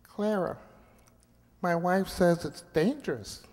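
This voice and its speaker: A man's voice: sits high, slow, darkish tone, in a large hall. An actor playing a persuasive, pleading little family man in a radio drama. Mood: coaxing, insistent. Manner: coaxing, insistent.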